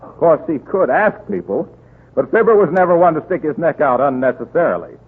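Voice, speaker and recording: A man's voice: fairly high pitch, animated, a little fast, breathy edge. An American man reading scripted copy as a 1930s radio announcer. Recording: tinny 1930s radio broadcast recording.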